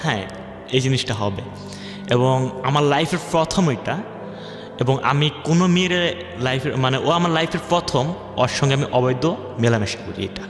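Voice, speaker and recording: A man's voice: medium pitch, lively intonation, normal speed. A man with a Bangladeshi accent tells a personal story as a guest, in informal, colloquial speech tinged with dialect. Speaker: guest telling his life story. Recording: echoey long reverb, music bed behind.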